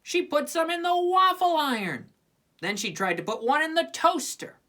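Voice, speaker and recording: A woman narrating a children's storybook in an expressive scripted reading style. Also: sits high, normal speed. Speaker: storybook reader.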